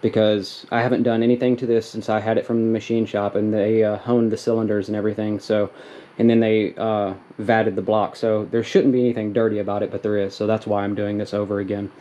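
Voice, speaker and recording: A man's voice: fairly low pitch, flat delivery, brisk, dark tone, muffled recording. A man in his thirties, talking casually and rambling with many fillers as he explains hands-on repair work in a small wooden workshop, recorded on the camera mic. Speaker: DIY mechanic vlogger. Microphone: camera mic while working.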